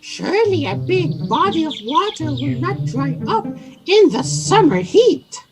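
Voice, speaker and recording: A woman voicing a thirsty, excitable little crow in a storybook radio play, with a dramatic exclaiming manner. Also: high-pitched, unhurried. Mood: scheming. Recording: loud music behind the voice.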